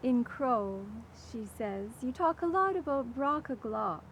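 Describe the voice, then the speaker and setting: An older woman hosting a literary interview, putting prepared questions and audience questions in a measured, articulate way. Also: on the high side, animated, rough recording. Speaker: interviewer, event host. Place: outdoors in a rose garden. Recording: clear outdoor background noise.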